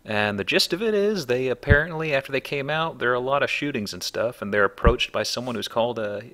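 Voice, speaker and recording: A man's voice: mid-range voice, expressive, fast talker, bright, forceful tone. A man hosting a let's play with casual, folksy, rambling commentary on the game. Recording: commentary over faint game background noise.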